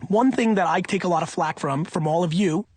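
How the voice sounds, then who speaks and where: A man reading an audiobook in the first person, in an emphatic, punchy, conversational way with a husky voice. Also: sits high, brisk, darkish tone, no echo. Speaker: audiobook reader.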